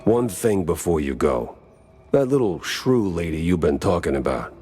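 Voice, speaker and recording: Low-voiced, hardboiled American noir detective. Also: male voice, normal speed, husky, soft background music, exaggerated voice. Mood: businesslike. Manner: terse, deadpan noir delivery. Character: world-weary, wry hardboiled male mouse private detective. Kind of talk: 1930s noir detective game acting.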